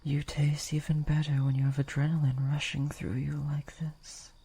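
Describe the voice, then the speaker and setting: A woman voicing a gentle but possessive vampire lady in an intimate roleplay, soft-spoken and often whispering, with formal, old-fashioned, coaxing phrasing. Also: deep voice, little pitch movement, slightly rough, full character voice. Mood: hungry, intimate. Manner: formal, archaic, slow, coaxing.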